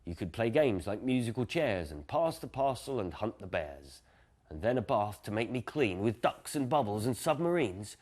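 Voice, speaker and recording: A man's voice: on the low side, sing-song, normal speed, darkish tone. A man voicing a small, bossy and imaginative girl in a children's picture-book story, in a firm, matter-of-fact way. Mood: firm, caring.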